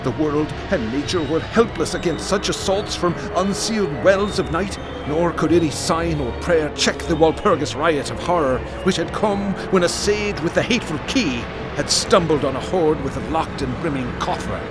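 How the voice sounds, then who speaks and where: Actor as haunted man, high-pitched, over music. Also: animated, normal speed, few pauses, close to an everyday voice, poor audio. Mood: feverish horror. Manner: florid, intense, theatrical recitation. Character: haunted, traumatized man recounting nightmarish cosmic horrors. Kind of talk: radio drama horror monologue.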